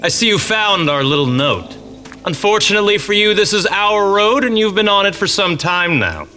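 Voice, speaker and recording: A smug bandit boss, bright and cutting, with lively swings of pitch. He is theatrically polite and full of menace, in a fantasy audio drama with faint music. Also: moderate pace. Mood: mocking menace. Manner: mocking, theatrically courteous, chuckling.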